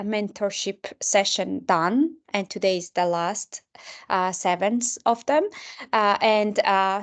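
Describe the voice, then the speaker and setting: A woman's voice: medium pitch, smooth. A woman hosting an online mentorship session, speaking hesitantly with many filler sounds.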